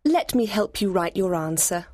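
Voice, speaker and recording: An actress playing a confident, bossy young gentlewoman in a storybook drama, poised, firm and persuasive. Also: mid-range voice, lively intonation, moderate pace, dry recording, clean studio sound, lightly acted. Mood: sweetly encouraging. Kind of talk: dramatised audiobook acting.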